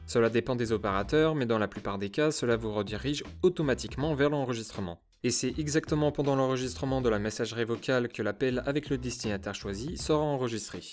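A man's voice: mid-range voice, fast, few pauses. A man presenting a scripted tutorial in a formal, instructional way. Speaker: tutorial host. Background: background music.

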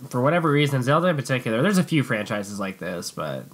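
A man at a middle pitch rattles along quickly as he co-hosts a casual gaming podcast. He is easygoing and agreeable, and laughs often. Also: sing-song. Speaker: podcast co-host. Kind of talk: casual chat.

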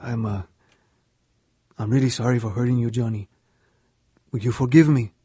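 A man's voice: medium pitch, steady tone, moderate pace, mellow, slightly rough, no room sound, studio quality, close to an everyday voice. A man playing an earnest, newly converted young brother in a radio drama. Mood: remorseful, hopeful.

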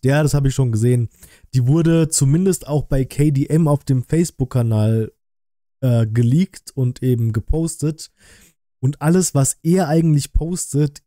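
A man comments casually on a livestream. His voice is very soft-edged and mellow, and it is recorded close and dry in a room at home. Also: mid-range voice, dry recording. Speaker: streamer, reaction host. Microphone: close streaming microphone.

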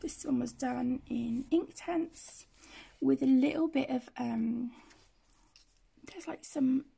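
A woman with the flu, croaky and often half-whispering, casually showing her finished colouring pages as the channel host. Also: high-pitched, takes it slowly, dark tone. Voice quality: hoarse, often drops to a whisper. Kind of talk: casual flip-through commentary. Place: indoors at a table.

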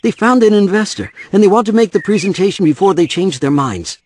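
A man's voice: high voice, expressive, brisk, a little hiss or hum. A man playing a harried, defensive divorced father in an audio drama, weary and impatient. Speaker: voice actor.

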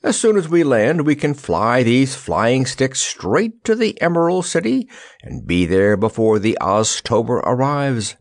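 A man voicing a cheerful, gallant wizard from a storybook, calling out loudly and heartily. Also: mid-range voice, big pitch swings, unhurried, no echo, studio quality, pitched above the performer's own voice. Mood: bright, hearty. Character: cheerful, gallant old wizard shouting optimistically.

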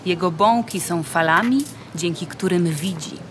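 A woman's voice: lowish voice, a little slow, a bit bright, a little hiss or hum. A woman reading scripted narration in the style of a nature documentary for an audio play. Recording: music behind the voice. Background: music.

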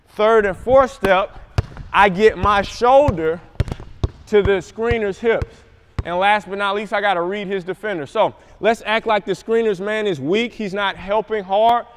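High-voiced young basketball coach. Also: male voice, smooth. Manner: energetic, shouts calls on court. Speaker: basketball trainer. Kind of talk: coaching demonstration. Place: large indoor gym.

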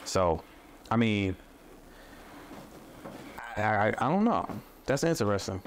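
A man who makes mental health content, talking thoughtfully in a relaxed conversation, with many fillers and restarts. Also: fairly low pitch, slow, slight background noise. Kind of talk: casual conversation. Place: studio set with a table.

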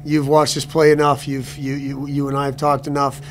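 A middle-aged man, a hockey coach at a postgame press conference, answering seated, with halting speech that repeats words and restarts often. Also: mid-range voice, completely flat delivery, slight background noise. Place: press room before a sponsor backdrop.

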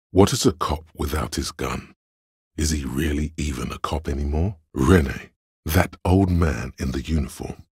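An actor playing an inner voice of cold deductive logic in a video game, measured, deliberate and dryly condescending, with a gravelly, husky voice. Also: male voice, extremely low pitch, dry recording, clean studio sound, full character voice. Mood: solemn, persuasive. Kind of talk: video game voice-over, scripted narration. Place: dry studio recording.

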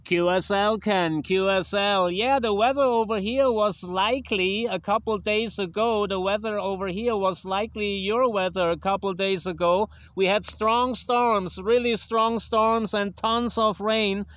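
German-accented male radio operator, high smooth voice, dry open-air sound, casual and repetitive. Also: fairly even intonation, a bit bright, no echo. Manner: repeats phrases deliberately. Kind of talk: casual ham radio contact. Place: outdoors on a grassy riverbank. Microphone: handheld tablet recording.

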